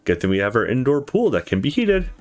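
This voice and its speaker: Man playing easygoing young woman for a visual novel, chatty, teasing, very varied pitch. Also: wildly swooping pitch, fast. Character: friendly, easygoing young woman relaxing in a jacuzzi. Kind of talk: visual novel dialogue read aloud.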